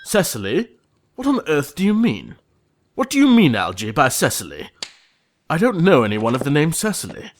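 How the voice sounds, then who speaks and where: A man acting an earnest, easily indignant young gentleman in an audio play of a period comedy, speaking in a proper, pompous, exasperated manner. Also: a little high, huge pitch swings, normal speed, a little hiss or hum. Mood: startled.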